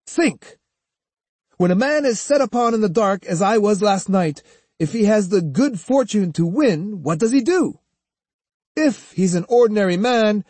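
A man's voice: high-pitched, a little mellow, no echo, pitched above the performer's own voice. A haughty, sneering swordsman as a man narrating an audiobook acts him out. He speaks in a raised, boastful voice, lecturing and taunting with pointed questions. The sound is close and dry. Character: arrogant swaggering master swordsman, boastful and sneering.

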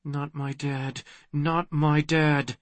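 A man's voice: a little high, lively intonation, measured pace, breathy edge, no room sound, pitched above the performer's own voice. A man voicing a brave, emotional young woman, a daughter in an audiobook. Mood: pained.

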